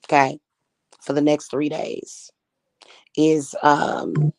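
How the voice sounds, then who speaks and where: A woman with a slightly hoarse voice, talking casually and defiantly to her viewers about her spiritual practice. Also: deep voice, little pitch movement, slow. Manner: chatty, defiant, addresses viewers as y'all. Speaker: spiritual reader and channel host.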